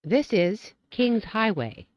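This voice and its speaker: A woman reading scripted train announcements as a transit announcer, in a measured, formulaic way. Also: mid-range voice, sing-song, no room sound, muffled recording.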